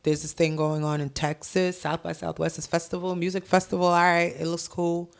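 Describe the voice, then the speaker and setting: Animated, gravelly young woman telling stories. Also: on the low side, brisk. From Haiti. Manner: animated, profane storytelling. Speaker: guest, hip-hop artist. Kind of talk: casual podcast interview. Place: dark brick-walled podcast studio. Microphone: headset with boom mic.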